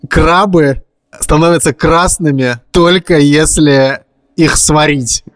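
Casually chatting man, slightly high voice. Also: slow pace, dry recording, clean studio sound. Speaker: podcast co-host. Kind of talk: casual chat, anecdotes.

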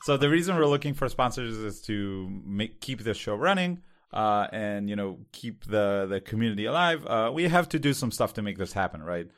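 Israeli man, low voice, halting chat. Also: expressive. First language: Hebrew. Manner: halting, many fillers and restarts. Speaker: podcast co-host. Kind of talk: casual podcast chat.

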